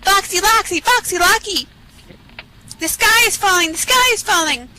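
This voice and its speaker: A woman's voice: very high-pitched, lively intonation, normal speed, pushed and bright, slight background noise, pitched above the performer's own voice, heavily acted, rough recording. A woman voicing a dim, panicky chicken from a storybook, exclaiming frantically. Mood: frantic panic.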